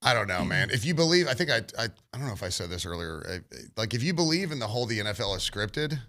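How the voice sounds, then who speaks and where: Gravelly, opinionated sports radio man. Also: lowish voice, sing-song, fast talker, pushed and bright. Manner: opinionated, rambling, heated, says man a lot.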